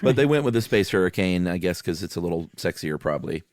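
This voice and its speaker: A man's voice: deep voice, expressive, quick pace, darkish tone, dry recording, clean studio sound. A man co-hosting a podcast, chatting in a laid-back, informal way. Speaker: podcast co-host. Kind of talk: casual chat.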